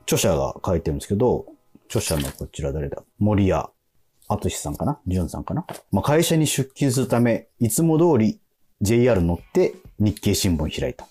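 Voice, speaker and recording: A man, hosting radio with casual, animated storytelling. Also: fairly low pitch, normal speed, husky. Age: 40s. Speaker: radio show host. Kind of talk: casual radio chat with anecdotes. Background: music.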